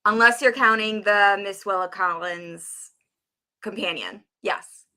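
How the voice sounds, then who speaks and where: A woman's voice: mid-range voice, slow pace, pushed and bright, smooth. A woman co-hosting a book discussion, talking in an informal, enthusiastic, conversational way. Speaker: book review show co-host. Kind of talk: casual chat about books.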